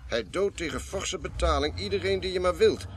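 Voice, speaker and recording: A man acting in a Dutch radio play as a composed, proper police inspector with a measured, formal way of talking. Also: high voice, background noise, close to an everyday voice. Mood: serious. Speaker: actor in a radio drama.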